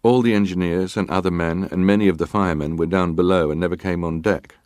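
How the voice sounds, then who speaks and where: A man's voice: low-pitched, fairly even intonation, fast talker, darkish tone, no room sound, clean studio sound, natural voice. An actor playing a stoic ship's officer giving testimony at a formal hearing, clipped and matter-of-fact in his answers. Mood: grave recounting. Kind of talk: dramatized reading of hearing testimony.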